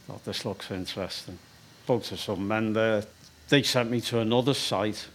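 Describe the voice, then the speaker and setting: Older Liverpool man, low voice. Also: normal speed, a little hiss or hum. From England. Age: 60s. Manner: hesitant, with many fillers and restarts. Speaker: stone carver. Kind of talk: relaxed interview storytelling.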